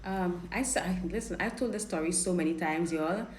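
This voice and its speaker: A woman's voice: lowish voice, normal speed. A woman from Trinidad with a Trinidadian accent, a dating coach chatting frankly while answering viewer questions on a live stream. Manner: chatty, frank, repeats and restarts words. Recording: roomy live-stream audio with faint noise.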